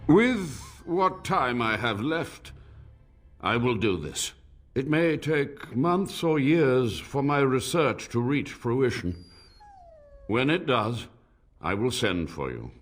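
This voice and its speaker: An actor playing an aged male mage, weary and stubborn, speaking gravely. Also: mid-range voice, big pitch swings, slow pace, faint music behind. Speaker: game character. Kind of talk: game voice acting.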